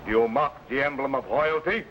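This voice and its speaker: An actor playing a bullying, pompous guard who barks orders in old adventure serial style. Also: male voice, mid-range voice, brisk, fairly bright tone, lightly acted. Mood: outraged. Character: bullying, officious male palace guard. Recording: noisy old broadcast recording.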